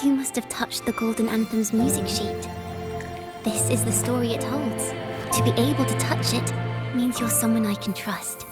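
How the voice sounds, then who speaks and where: A woman's voice: a little high, steady tone, normal speed, over loud music, natural voice. An actress playing an earnest, poetic young outcast woman in a video game, telling her story solemnly and lyrically. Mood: solemn, trusting. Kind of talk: video game narration in character.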